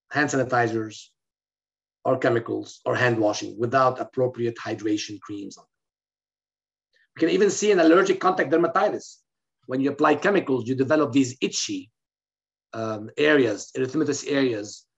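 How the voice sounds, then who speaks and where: This middle-aged man, originally from Lebanon, delivers a medical webinar lecture with a gravelly, creaky voice at an ordinary pitch. He explains carefully, often pausing on fillers and restarting phrases. The webcam audio sounds slightly dull and lo-fi. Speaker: physician lecturer. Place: indoor room, in front of a plain wall. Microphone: webcam on a video call.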